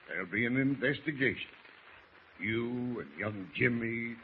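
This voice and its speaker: An actor playing a scheming, folksy seafaring ship's master who speaks in salty dialect in an old radio drama. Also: male voice, mid-range voice, close to an everyday voice. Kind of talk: 1940s radio drama acting. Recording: muffled, noisy old radio recording.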